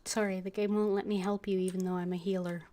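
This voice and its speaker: A woman's voice: medium pitch, level tone, fast talker, dark tone, a little hiss or hum. A woman streaming a video game, giving casual commentary as she plays. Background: game music.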